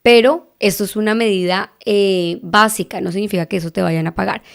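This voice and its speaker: Thirties Colombian woman host with a Bogotá accent, gravelly edge, chatty and explanatory, middle pitch. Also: steady tone, a little fast. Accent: Bogotá Colombian Spanish. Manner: chatty, asks listeners for agreement with frequent tag questions. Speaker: podcast host. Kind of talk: casual explanatory podcast talk. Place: living in Texas.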